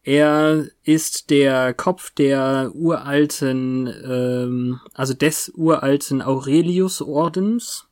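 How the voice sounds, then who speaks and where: A podcast co-host chatting casually about a TV series in a halting, spontaneous way. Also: mid-range voice, fairly even intonation, darkish tone.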